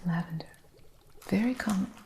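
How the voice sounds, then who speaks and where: A woman whispering an ASMR roleplay as a witch shopkeeper, gently teaching and teasingly strict with her apprentice. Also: mid-range voice, normal speed, a little hiss or hum. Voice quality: soft unvoiced whisper. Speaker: ASMR artist.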